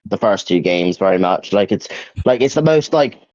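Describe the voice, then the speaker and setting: A man's voice: deep voice, animated, moderate pace, darkish tone, dry recording. A man chatting casually as a co-host, rambling and repeating phrases as he gives his opinions. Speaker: co-host ranking video game powers. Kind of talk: casual chat, giving opinions. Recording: dull, lo-fi voice audio.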